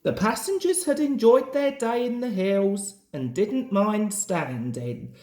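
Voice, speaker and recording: A man's voice: high voice, expressive, slow, a little mellow. A young man reading a children's story aloud as a narrator. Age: young adult. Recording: roomy, echoing home recording.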